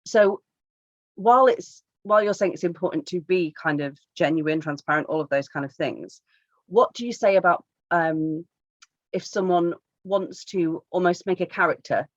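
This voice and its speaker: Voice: medium pitch, normal speed, no echo, highs cut off. A podcast co-host asking questions and teasing the guest, laughing a lot. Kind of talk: casual podcast chat.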